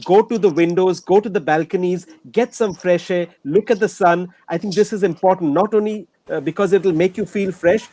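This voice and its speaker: High-voiced doctor, man. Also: moderate pace, few pauses, warm tone. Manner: simple, practical advice in short lists. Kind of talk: online panel discussion.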